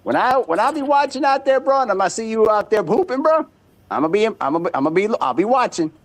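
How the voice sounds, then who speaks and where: A man's voice: sits high, big pitch swings, quick pace. A man in his thirties trash-talking in casual banter over a remote call, boastful, and he repeats his words a lot. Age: 30s.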